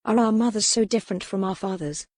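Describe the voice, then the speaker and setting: A woman's voice: mid-range voice, fast talker, darkish tone, no echo, lightly acted. A woman voicing a proud, defiant young medieval noblewoman in an audiobook, with formal period speech. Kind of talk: dramatic audiobook character reading.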